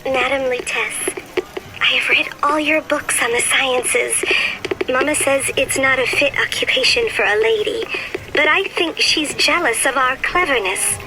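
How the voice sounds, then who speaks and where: A woman's voice: sits high, measured pace. A woman voicing an earnest, precocious young girl who formally reads out her letter in a game audio diary. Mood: earnest, admiring. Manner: prim, formal, carefully enunciated letter reading. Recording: noisy old-fashioned audio diary recording.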